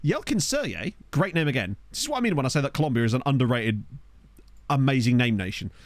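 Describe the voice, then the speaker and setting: A man's voice: medium pitch, sing-song, quick pace, no room sound. A man giving casual, rambling commentary as he streams a football management game, laughing and reacting aloud to the players he finds. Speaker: gaming streamer. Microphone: desk microphone.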